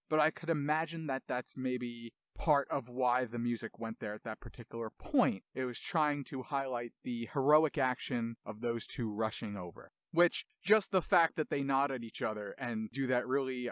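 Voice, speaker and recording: A man's voice: mid-range voice, moderate pace, a bit bright, no room sound. A man hosting a game music show with enthusiastic, analytical commentary and dramatic storytelling.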